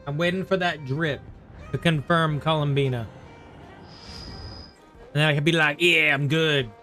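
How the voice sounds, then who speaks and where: A man talking casually to viewers as a game streamer, in a halting, conversational way, often repeating himself. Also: on the high side, steady tone, bright, forceful tone. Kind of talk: casual live stream chat while gaming. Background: faint game music.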